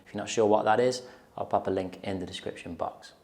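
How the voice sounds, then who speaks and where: A man's voice: lowish voice, fairly even intonation, fast, gravelly, darkish tone. A man in his thirties giving an informal, explanatory guitar tutorial to camera, often checking in with the listener, recorded on a lapel mic in a small room. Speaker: guitar teacher. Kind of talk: instructional tutorial talk to camera. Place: small plain indoor room.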